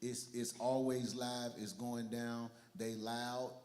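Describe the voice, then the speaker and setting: A man hosting a church community talk before a studio audience, energetic and rallying online viewers and the crowd. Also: mid-range voice, slow, very noisy. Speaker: church livestream host. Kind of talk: hyped livestream hosting.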